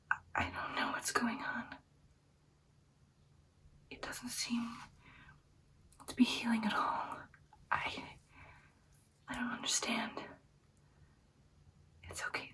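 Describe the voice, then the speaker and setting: A woman playing a caring, anxious vampire girlfriend in a whispered ASMR roleplay, speaking softly and haltingly in a soothing way. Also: a little high, distant mic. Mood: worried, reassuring. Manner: soft, hushed, halting, soothing personal attention.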